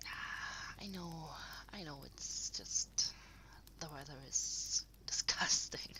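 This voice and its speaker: Cozy female partner voiced by a woman for intimate audio roleplay; low, creaky voice, soft unhurried rambling, affectionate. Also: whispered, full character voice, rough recording. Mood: soft, welcoming. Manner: soft, breathy, hesitant rambling with trailing pauses. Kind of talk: intimate unscripted audio roleplay, spoken to the listener.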